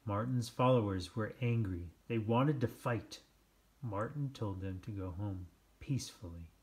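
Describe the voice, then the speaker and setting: A man narrates a children's book in a fairly low voice. He takes it very slowly, with deliberate phrasing and dramatic pauses between short phrases. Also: darkish tone. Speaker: storybook reader. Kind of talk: scripted children's read-aloud narration.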